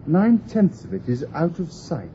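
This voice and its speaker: An actor playing a glib, knowing city local with a British accent, slyly drawing out a stranger in a radio drama. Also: male voice, sits high, sing-song, moderate pace, gravelly, warm tone, full character voice. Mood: chatty, wry. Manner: glib, wry, conversational. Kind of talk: BBC radio drama acting. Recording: dull lo-fi radio recording with hiss.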